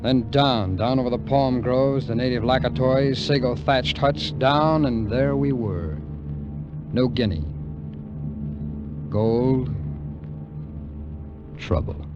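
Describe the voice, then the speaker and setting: An actor playing a weary, hard-boiled American adventurer in a 1950s radio drama, telling the story in terse, wry phrases. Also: male voice, mid-range voice, slow, pauses often, natural voice. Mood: brooding, tense. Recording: muffled vintage radio recording with music.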